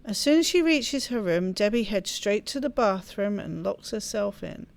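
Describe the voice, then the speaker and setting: A woman's voice: medium pitch, lively intonation, normal speed, creaky. A woman hosting a gaming video, chatting casually and reading story text aloud with expression. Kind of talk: let's play chat and expressive read-aloud narration.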